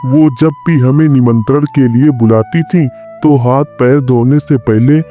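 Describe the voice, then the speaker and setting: A man's voice: mid-range voice, measured pace, mellow, faint music behind, natural voice. A man voicing a cunning, falsely humble Brahmin priest in a cartoon moral story. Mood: mock tearful. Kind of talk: Hindi cartoon moral story character dialogue.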